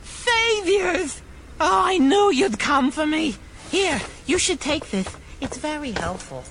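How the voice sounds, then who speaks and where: A woman voicing a small furry alien creature in an audio game, friendly, eager and skittish, in a loud stage whisper. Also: high voice, very animated, takes it slowly, noisy background, exaggerated voice. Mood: delighted.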